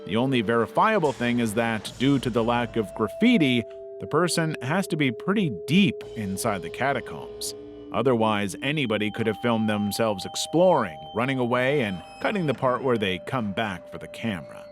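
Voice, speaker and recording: A man narrating a scripted documentary-style piece in a measured, serious way. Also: mid-range voice, animated. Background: background music.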